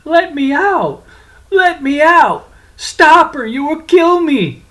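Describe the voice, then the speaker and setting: A storyteller voicing a scheming male devil who flatters and then begs in a raised, pleading voice. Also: high-pitched, takes it slowly, pitched above the performer's own voice, full character voice. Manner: wheedling, then whining.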